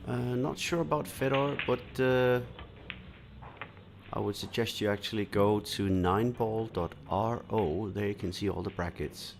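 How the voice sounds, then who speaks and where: A man from Denmark commenting live on a pool match, explaining shots in a relaxed way and answering viewer comments, with frequent fillers. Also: fairly low pitch, measured pace, creaky, a little hiss or hum.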